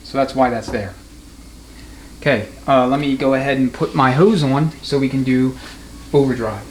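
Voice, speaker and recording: Male mechanic-instructor, medium pitch, matter-of-fact and off the cuff, recorded in a workshop with noticeable noise. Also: normal speed, poor audio. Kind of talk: unscripted hands-on technical demonstration. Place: transmission workshop.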